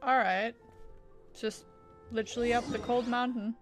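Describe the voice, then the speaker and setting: A woman's voice: fairly high pitch, fairly even intonation, moderate pace, over music. A woman streaming a game, giving casual, informal live commentary with swearing and short reactions.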